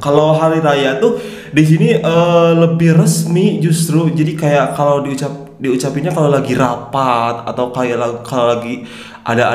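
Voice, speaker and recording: A man's voice: fairly high pitch, darkish tone, roomy sound. A young man with a stuffy, nasal voice from a cold, hosting a casual reaction video in a chatty, upbeat way. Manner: chatty, upbeat, says "guys" often.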